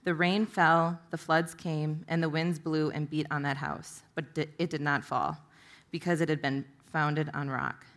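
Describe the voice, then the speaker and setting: Low-voiced woman reading scripture. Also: fairly even intonation, a little slow. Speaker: volunteer scripture reader.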